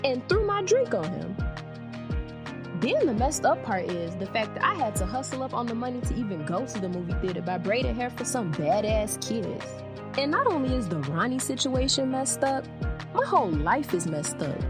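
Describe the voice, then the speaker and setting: A woman's voice: fairly high pitch, big pitch swings, moderate pace, loud music behind the voice. A woman playing an aggrieved teenage girl who tells her troubles in a confiding, dramatic way, as in a young adult audiobook. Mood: fed up. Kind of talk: first-person young adult audiobook narration.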